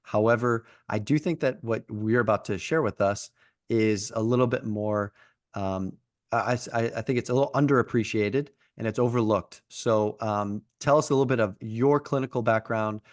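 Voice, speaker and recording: A male podcast host with a lowish voice, recorded dry and close. He asks friendly questions, stumbling and restarting often. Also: no room sound. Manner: friendly, with many fillers and restarts. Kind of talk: podcast interview.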